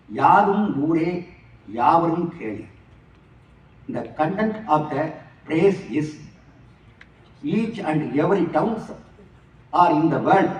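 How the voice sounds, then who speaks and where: A man with a Tamil Indian English accent, a grandmaster giving a slow, halting formal speech to an audience. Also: on the high side, a little mellow. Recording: echoey hall, mic far off.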